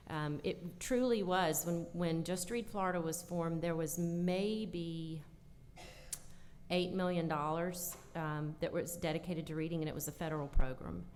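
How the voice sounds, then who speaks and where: Woman conference panelist, lowish voice, explanatory and emphatic, reverberant noisy hall. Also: darkish tone. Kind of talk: panel answer, explanatory talk. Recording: echoey hall with background noise.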